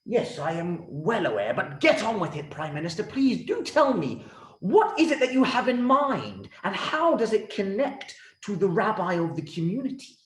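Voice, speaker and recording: A man's voice: high voice, very animated, normal speed, a bit bright, voice pushed up. A man voicing a pompous, easily flustered king in a children's story, effusive and theatrical. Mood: impatient. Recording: roomy, echoey room sound.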